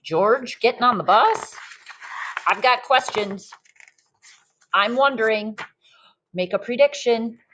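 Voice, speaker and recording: A woman reading a children's story aloud expressively, like a teacher, in a deliberate manner that invites listeners in. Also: on the high side, fairly bright tone, low-quality recording. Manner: slow, deliberate, pauses to ask listeners questions.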